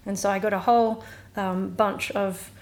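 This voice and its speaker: A woman's voice: medium pitch, level tone, moderate pace. A woman speaking hesitantly as an interview guest. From Australia. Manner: hesitant, many fillers and restarts. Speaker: guest musician. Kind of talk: casual interview chat.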